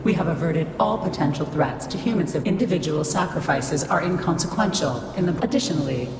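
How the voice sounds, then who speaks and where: An actress voicing a cold, imperious supercomputer in a video game, speaking in formal, clipped, emotionless and rigidly logical phrases. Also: female voice, deep voice, animated, brisk, noisy background. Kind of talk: video game cutscene voice acting. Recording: echoey long reverb under clear music.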